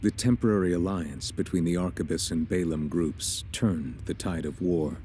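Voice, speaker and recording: A man narrating as a grave war chronicler in a video game, formal, measured and solemn. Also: deep voice, warm tone, a little hiss or hum, close to an everyday voice.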